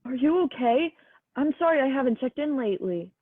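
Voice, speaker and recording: Young actress as kindly, quirky gamer, higher-pitched, chatty, tinny phone-like student play recording. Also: female voice, animated, fairly quick. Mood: concerned. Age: young adult. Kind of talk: student one-act play acting. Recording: tinny dry phone-like audio.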